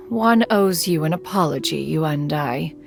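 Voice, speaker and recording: An actress playing a proud, stern yet caring immortal crane master in a game, speaking in lofty, archaic phrases. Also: female voice, low voice, animated, slow pace, creaky, full character voice. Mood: solemn, tender. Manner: archaic, lofty speech, calls herself "one". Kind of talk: scripted game voice acting. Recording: music behind the voice. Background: music.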